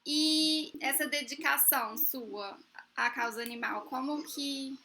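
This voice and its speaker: Young chatty woman host, high and very bright voice. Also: slow. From Brazil. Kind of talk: casual chat.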